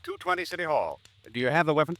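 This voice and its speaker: An actor playing a brisk male police sergeant in a 1950s radio drama, clipped and official in his questioning. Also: a little high, very animated, a bit bright, no room sound. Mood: businesslike.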